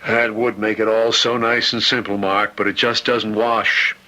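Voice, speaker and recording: Earnest chaplain in old radio drama, lowish voice, very forceful edge, grave delivery. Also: male voice, level tone, a little slow, low-quality recording. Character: earnest, compassionate male hospital chaplain.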